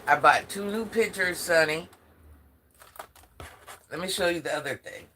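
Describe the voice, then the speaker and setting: An older woman hosts a casual phone livestream in a deep, forceful voice. She sounds stuffed up from allergies and chats straight to her viewers, with faint noise behind her. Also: unhurried. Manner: chatty, talks straight to viewers. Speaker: livestream host.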